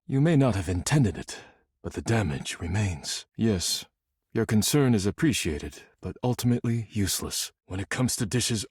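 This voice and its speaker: Game role: proud, duty-bound spirit of the fine china. Low, formal, deadpan, very dry close recording. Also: male voice, breathy edge, dry recording. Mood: curt, irritated. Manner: formal, clipped, deadpan, disdainful. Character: stern, proud, formal male dishware spirit devoted to duty. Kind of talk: scripted video game character acting.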